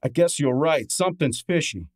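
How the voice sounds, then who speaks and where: A man plays a detective's breezy assistant in a radio drama. He is wry and slangy, and his pitch swings widely as he talks. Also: animated, normal speed, breathy edge. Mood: wry, brisk. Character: breezy, wisecracking male detective's assistant and narrator. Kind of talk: old-time radio drama acting with narration.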